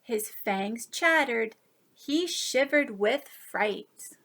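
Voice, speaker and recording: High-pitched woman reading a children's story. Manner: animated, drawn-out emphasis, asides to listeners. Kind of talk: expressive picture-book read-aloud for young children.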